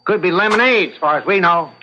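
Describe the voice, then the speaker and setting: Casting: a combative courtroom prosecutor for old radio drama; high-pitched, formal, indignant, on a muffled vintage recording. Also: male voice, expressive, quick pace, pushed and bright, slight background noise. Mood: skeptical.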